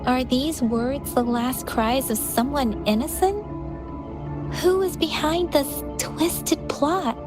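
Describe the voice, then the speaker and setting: A high, soft young female voice for a video game heroine. She is gentle and earnest, sensitive to sorrow and a little hesitant as she speaks. Music plays clearly behind her. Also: slow. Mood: troubled. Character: gentle, earnest young woman who senses others' sorrow. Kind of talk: scripted game dialogue acting.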